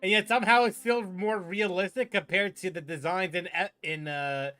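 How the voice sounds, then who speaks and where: A man giving casual, reactive game commentary as a streamer, slangy, joking and excitable. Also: sits high, moderate pace. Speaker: gaming streamer. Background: game music.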